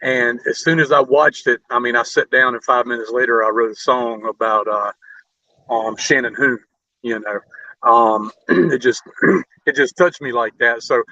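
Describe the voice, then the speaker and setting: A man hosting a casual interview, speaking informally in halting, loosely phrased sentences. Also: mid-range voice, bright, forceful tone, no room sound, muffled recording. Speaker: interview show host, musician.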